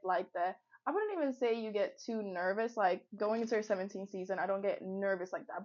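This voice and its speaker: A woman's voice: mid-range voice, dull audio. A woman in her twenties from Finland, co-hosting a podcast in an upbeat, reflective, talkative way. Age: 20s. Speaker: podcast co-host and football player. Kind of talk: casual podcast chat.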